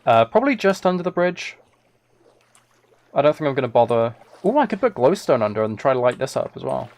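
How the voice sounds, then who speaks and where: Male game streamer, mid-pitched with noticeable fry, musing aloud in loose, casual chat. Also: sing-song, brisk, darkish tone. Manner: rambling, musing to himself while playing. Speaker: live streamer. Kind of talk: casual gaming livestream chat, thinking aloud.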